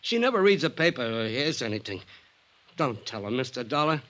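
An actor playing a nervous aging American ex-con entertainer in an old radio drama, stammering and pleading in street slang. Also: male voice, mid-range voice, very animated, brisk, fairly bright tone. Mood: anxious, earnest. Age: older.

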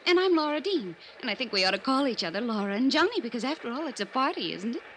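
An actress playing a young, gushing party guest who babbles eagerly in an old-time radio drama. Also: female voice, fairly high pitch, big pitch swings, fast, fairly bright tone. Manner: babbling, breathless enthusiasm. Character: gushing, girlish, chatty young party guest, secretly shrewd. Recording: noisy old radio recording.

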